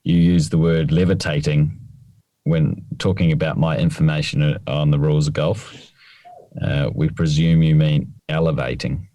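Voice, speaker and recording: A man's voice: extremely low pitch, fairly even intonation, moderate pace, warm tone, no room sound. A man co-hosting a golf podcast, precise about the rules and dryly teasing his co-host. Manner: precise, correcting, dry teasing. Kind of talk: casual podcast chat.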